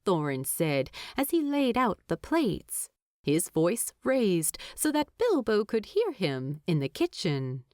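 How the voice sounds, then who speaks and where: A woman narrates fiction at a slow, deliberate pace. Her medium-pitched voice rises and falls expressively, and the recording is dry with no room sound. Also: wildly swooping pitch, a little mellow, dry recording. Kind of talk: expressive scripted story narration.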